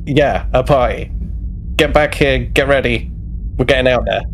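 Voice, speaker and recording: A man's voice: mid-range voice, normal speed, gravelly, slight background noise, lightly acted. A man playing an informal, insistent young starship officer keen to go out, in a tabletop roleplay. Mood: urgent. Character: young male starship officer, urgent, laddish party-goer.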